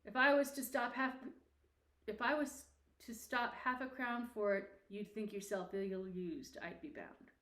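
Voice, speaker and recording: A woman's voice: on the high side, measured pace, a bit bright, roomy sound. A woman voicing a miserly, sour old businessman who scolds grudgingly and sarcastically in a storybook reading. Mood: grudging, irritated.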